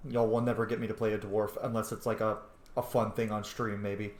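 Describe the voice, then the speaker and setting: A man's voice: fairly low pitch, flat delivery, a little fast, a little hiss or hum. A man doing casual gameplay commentary as a streamer, chatty and playful, often correcting himself. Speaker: video game streamer. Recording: echoey room.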